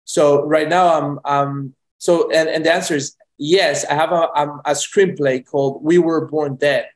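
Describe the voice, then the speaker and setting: A man's voice: fairly high pitch, fairly even intonation, measured pace. A young man from Colombia, a film director, answering interview questions casually with many restarts. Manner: rambling with many restarts and fillers.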